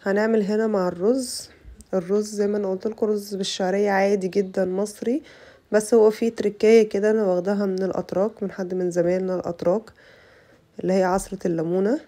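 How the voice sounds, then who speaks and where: Fast-talking Egyptian woman with a cold. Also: medium pitch, little pitch movement, dark tone. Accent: Egyptian Arabic. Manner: chatty, addresses viewers as girls. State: voice rough from a bad cold. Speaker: cooking channel host. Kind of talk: casual cooking tutorial voice-over.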